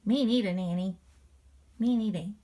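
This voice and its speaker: A woman's voice: medium pitch, moderate pace, warm tone, lightly acted. A woman voicing a childlike stuffed toy dog who is earnest and wide-eyed in a children's story. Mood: puzzled. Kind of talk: character reacting to a children's story.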